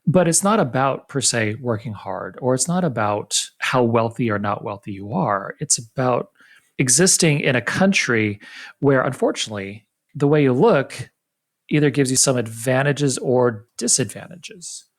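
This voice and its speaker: A man hosting an interview podcast, his voice leaping upward when he stresses a point and then dropping back down, quick on his asides. He speaks at a middle pitch. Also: animated. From United States. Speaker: podcast host. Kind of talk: casual interview.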